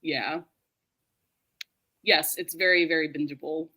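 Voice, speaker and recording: A woman with a bright, lively voice hosts an informal book chat. Her manner is giggly and animated, with loose, conversational phrasing. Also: deep voice, a little slow, slight background noise. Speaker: host of a book readalong live show.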